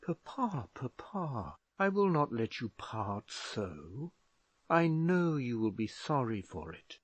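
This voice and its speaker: A man voicing a young woman who tries to calm a family quarrel in a soft, pleading voice. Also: medium pitch, slow pace, dry recording. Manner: soft, pleading, at times whispering. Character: anxious young woman, a pleading peacemaking daughter.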